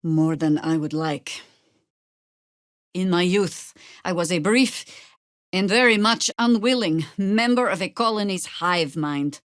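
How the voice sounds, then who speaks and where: An actress playing a seasoned, sardonic older druid adventurer in a video game, with dry, wry and deliberate formal phrasing. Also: female voice, lowish voice, no room sound. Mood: reflective. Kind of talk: video game character acting.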